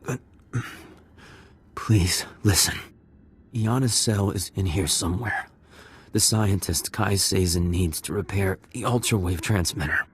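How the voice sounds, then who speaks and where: A man's voice: on the low side, breathy edge, exaggerated voice. A man playing a wounded, desperate spy in a video game, in pain and struggling to speak. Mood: pained pleading.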